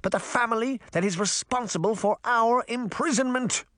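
A furious, pompous fairy-tale queen rants in a high, piercing voice, voiced by a man reading an audiobook. Her pitch leaps wildly from line to line. The sound is dry and close, with no room around it. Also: sing-song, normal speed, dry recording. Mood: raging. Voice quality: high, strident, raging. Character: shrill pompous fairy-tale queen, middle-aged, ranting. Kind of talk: audiobook character voice.

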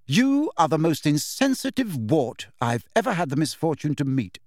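A man voicing a brisk, know-it-all teenage girl in an audiobook, businesslike, dignified and exasperated. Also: on the high side, big pitch swings, a little mellow, dry recording, clean studio sound, voice pushed up. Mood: exasperated, lecturing.

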